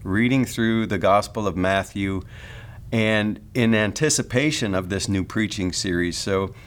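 A man with a fairly low voice opens a new preaching series. He talks to the congregation in a friendly, conversational way, like a pastor easing into a sermon. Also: a little slow. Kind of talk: sermon introduction.

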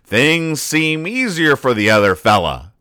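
A man hosting a podcast, reading out and explaining comic strips in a deadpan, mock-serious manner. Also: mid-range voice, a little slow, clear, resonant tone, no echo, clean studio sound. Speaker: podcast host.

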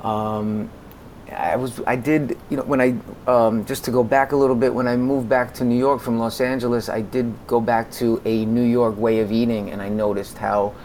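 An American man in his forties speaking conversationally as an interview guest, in a halting way that often repeats words. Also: mid-range voice, little pitch movement, darkish tone, slight background noise. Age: 40s. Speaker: podcast guest, music consultant.

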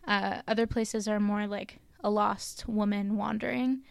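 A woman's voice: mid-range voice, steady tone, a little slow, creaky, no room sound. Casual podcast co-host, woman, from Canada, loose rambling storyteller. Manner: chatty, lots of likes and ums, laughs at own stumbles. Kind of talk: casual chat, telling legends.

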